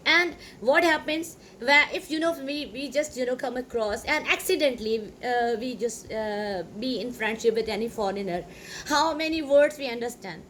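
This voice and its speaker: A woman's voice: a little high, moderate pace, fairly bright tone, a little hiss or hum. A woman from Pakistan with a Sindhi-Pakistani English accent, a native Sindhi speaker, talking casually and rambling with lots of "you know" and emphatic asides. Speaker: English teacher. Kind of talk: casual talk to camera.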